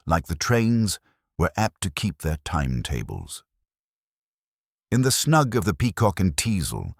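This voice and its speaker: A male narrator with an extremely low voice reads a literary mystery. His delivery is measured and drily witty, yet the pitch moves in a lively way. The sound is dry, with hardly any room around it. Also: no echo. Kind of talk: scripted audiobook-style mystery narration.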